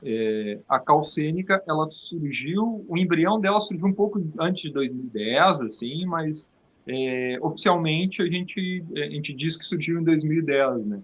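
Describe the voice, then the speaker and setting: High-voiced Brazilian man, halting interview guest. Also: animated, lo-fi sound. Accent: Brazilian, Rio Grande do Sul. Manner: halting, repeats words as thoughts form. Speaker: theatre company founder, interview guest. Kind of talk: casual interview talk.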